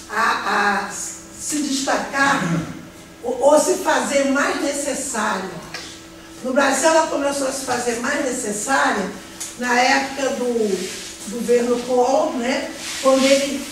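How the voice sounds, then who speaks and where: A middle-aged Brazilian woman lecturing to a class, her fairly high voice bouncing around a big echoing room. She is picked up by a distant camera, with steady background noise. She explains at length, restarting phrases and checking in with her listeners. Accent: Brazilian Portuguese. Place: large classroom with a projector. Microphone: no mic, far camera. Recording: echoey room from far off, noisy.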